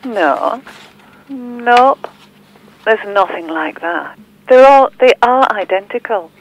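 Woman playing a slow, creaky, coy femme fatale. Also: a little high, lively intonation, slight background noise, low-quality recording. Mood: dismissive. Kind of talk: audio drama dialogue.

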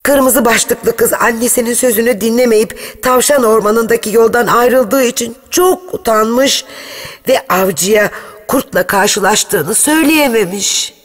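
A woman storyteller reading a scripted children's fairy tale in an expressive, measured way. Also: a little high.

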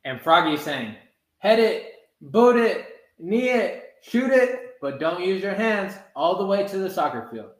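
A man's voice: high-pitched, big pitch swings, slow. A young man in his twenties reading a children's story aloud at a storytime. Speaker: soccer goalkeeper reading a children's book. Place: small room with blinds, indoors. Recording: roomy indoor webcam sound.

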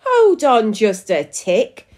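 Lady putting on a squeaky storybook mum, stubborn gardener type, competitive, high voice. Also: wildly swooping pitch, slow, a little mellow, full character voice. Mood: competitive, indignant.

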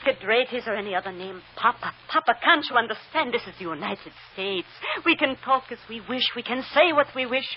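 Actress as an anxious immigrant wife, muffled old radio. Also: female voice, on the high side, lively intonation, brisk, few pauses, a little hiss or hum, lightly acted. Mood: firm, coaxing. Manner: earnest, emotional, stilted foreign phrasing. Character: devoted, anxious immigrant wife and mother, insistent, tender. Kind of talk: old-time radio drama acting.

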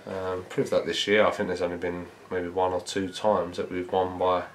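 A man in his thirties speaks as a football manager after a match, reflective and measured with frequent fillers. His voice sits very low and stays flat in pitch. Faint room ambience hangs behind him in a small indoor press room. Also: a little hiss or hum. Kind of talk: post-match interview.

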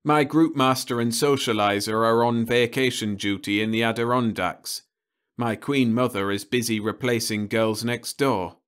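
A man's voice: mid-range voice, fairly even intonation, normal speed, fairly bright tone, clear, resonant tone, natural voice. A man voicing an earnest, polite young man who apologizes respectfully. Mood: apologetic.

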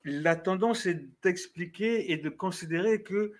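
A man's voice: sits high, unhurried. A man, a writer on a panel, speaking earnestly with fumbling starts before an audience. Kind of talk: panel discussion. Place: café venue with audience.

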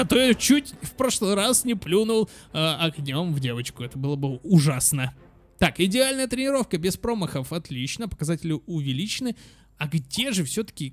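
Chatty higher-voiced man commentating a game. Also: a bit bright. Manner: chatty, thinks aloud, repeats words, exclaims. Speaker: gaming channel host. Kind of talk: casual let's play commentary for children. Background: game music.

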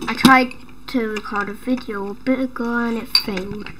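A woman's voice: a little high, steady tone, moderate pace, noisy background. A woman hosting her own gaming videos and losing her voice, rambling through casual gameplay commentary with sudden startled exclamations. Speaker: gaming channel host.

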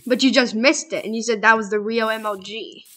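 A young woman playing a block-building game with a friend, chatting casually, excitable and insistent, dropping to murmurs while she plays. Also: fairly high pitch, brisk. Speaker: gamer. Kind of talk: casual let's play chat.

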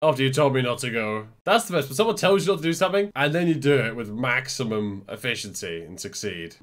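A young British man in his twenties talking excitedly as he reacts to a film, laughing often, speaking into a headset mic in a small bedroom studio. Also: medium pitch, fast talker. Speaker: movie reaction host. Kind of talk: casual reaction commentary.

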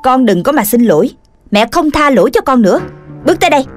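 A woman's voice: high voice, sing-song, quick pace. A woman playing an exasperated, scolding mother dog in a children's cartoon, with a southern Vietnamese accent and a nagging, stern manner. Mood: angry, stern. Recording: music behind the voice.

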